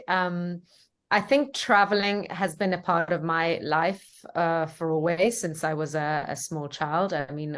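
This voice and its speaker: Soft-spoken woman, native Italian speaker. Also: fairly low pitch. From Ticino, Switzerland. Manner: thoughtful, frequent fillers and restarts. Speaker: film director, guest. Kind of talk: interview answers.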